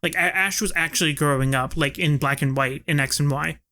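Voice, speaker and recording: Higher-voiced young man, slangy. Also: fairly quick, bright, forceful tone. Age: young adult. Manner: slangy, swearing. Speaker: podcast co-host. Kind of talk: casual chat with friends.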